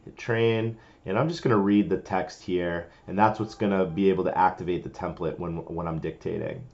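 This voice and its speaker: A man presenting a casual, step-by-step software tutorial, informally explaining each step as he goes. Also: low-pitched, fairly even intonation, moderate pace, gravelly, muffled recording.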